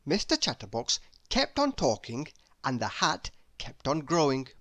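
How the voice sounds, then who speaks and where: A woman narrating a children's story aloud in an expressive storytelling style. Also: rumbling low, a little slow.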